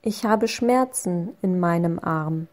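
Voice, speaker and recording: A woman reading short phrases slowly and clearly, saying each one twice for learners. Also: lowish voice, darkish tone. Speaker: language lesson voice. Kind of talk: slow repeated phrase reading.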